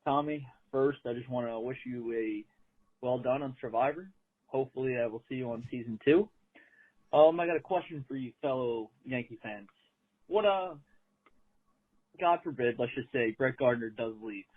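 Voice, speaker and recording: Over a thin, narrow phone connection, a man with an average-pitched voice leaves a casual voicemail. He speaks as a caller to a sports show. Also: moderate pace. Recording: tinny, narrow phone line.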